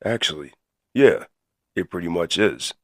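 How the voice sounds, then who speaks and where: Proud, laid-back cartoon gorilla, male actor, low-pitched, matter-of-fact, lively delivery. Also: measured pace. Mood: easygoing. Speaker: co-host of a fan question show. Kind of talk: fan audio drama voice acting.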